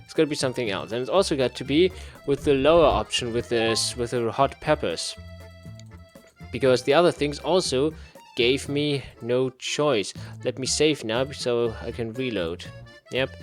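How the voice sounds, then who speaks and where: A man's voice: mid-range voice, normal speed, soft background music. A man giving a casual playthrough commentary, reading game text aloud and muttering to himself in repeated phrases. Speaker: gaming video host.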